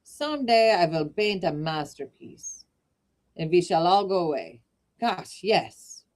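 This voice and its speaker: A woman voicing a fierce little old male painter with a put-on German accent, gruff and blustering. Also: lowish voice, sing-song, slow, a little mellow. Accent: German-accented English, put on.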